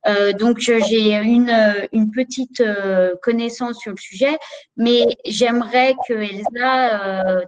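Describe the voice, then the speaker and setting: A woman's voice: mid-range voice, poor audio. Woman, hesitant, many fillers, webcam audio, office. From Canada. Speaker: supply chain manager. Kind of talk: video call panel discussion. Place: office room. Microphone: video call webcam.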